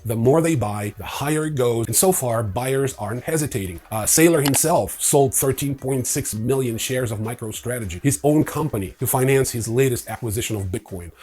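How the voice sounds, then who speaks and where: A man hosting a news show with opinionated commentary, informal and sardonic in manner, with a husky voice. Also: medium pitch, a little mellow. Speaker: host of a crypto news show.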